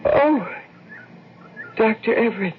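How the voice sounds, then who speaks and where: A woman playing an anxious, fragile wife and mother in a 1970s radio drama, fretful and halting as she tries to hold herself together. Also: on the high side, animated. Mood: flustered, polite. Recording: noisy, narrow-band old radio recording.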